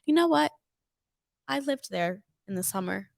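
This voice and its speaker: A woman's voice: mid-range voice, animated, moderate pace, creaky, no echo, studio quality. A woman in her twenties from New Jersey, co-hosting a casual chat show with a chatty, self-mocking manner. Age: 20s. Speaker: co-host.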